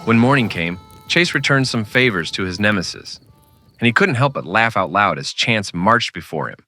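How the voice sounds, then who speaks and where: A man's voice: fairly low pitch, lively intonation, moderate pace, bright, forceful tone, no room sound, soft background music. A man narrating a scripted old-time western radio drama with dramatic storytelling delivery.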